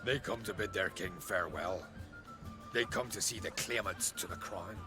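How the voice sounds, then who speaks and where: An actor playing a deferential steward in a video game, formal and polite. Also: male voice, sits low, normal speed, over music, close to an everyday voice. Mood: courteous. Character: courteous, deferential male steward of a jarl.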